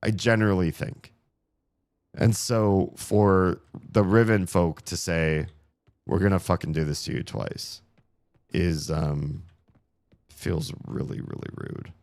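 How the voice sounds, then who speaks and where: A man streaming a puzzle game, grumbling sarcastically about the puzzles while his voice is going hoarse. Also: sits low, steady tone, slow pace, a little mellow, dry recording. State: losing his voice. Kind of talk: casual live-stream commentary.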